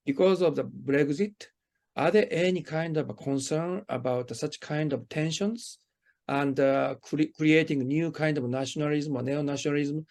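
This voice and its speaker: A man's voice: fairly high pitch, normal speed, a little mellow. A man with a Japanese accent, interviewing in a hesitant, roundabout way and feeling for his phrasing. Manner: hesitant, circling phrasing with hedged questions. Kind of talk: conversational phone interview.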